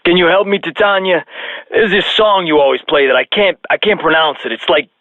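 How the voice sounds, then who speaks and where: A man's voice: fairly high pitch, fairly quick, no room sound. A narrow, tinny phone line carries a keen male caller to a game radio show. He sounds simple and slightly clueless, chatting plainly and hesitantly. There is no room sound at all. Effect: telephone filter. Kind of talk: casual phone-in chat.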